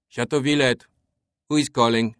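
A man's voice: medium pitch, fairly even intonation, normal speed, fairly bright tone, clear, resonant tone, no echo, studio quality, pitched above the performer's own voice, lightly acted. A man voicing an irritated, stiff French manservant in an audiobook, with a French accent and a curt, formal manner. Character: irritated, stiff French manservant guarding his master's sleep.

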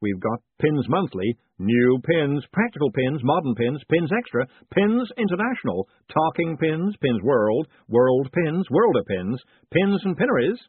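A man's voice: a little high, very animated, a little slow, hardly any pauses, husky, no echo, voice pushed up, heavily acted. Man voicing a knowing shopkeeper, phone-like sound. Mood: eager salesman. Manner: salesman's patter, knowing and confiding. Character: big keen pin-shop man, proud and furtive. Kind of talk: audiobook character acting. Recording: muffled narrow phone-like sound.

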